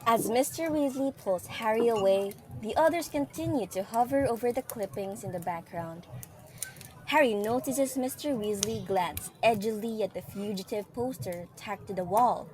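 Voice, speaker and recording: A woman's voice: a little high, normal speed, darkish tone, poor audio. A woman reading scripted story narration as the narrator of an audio drama.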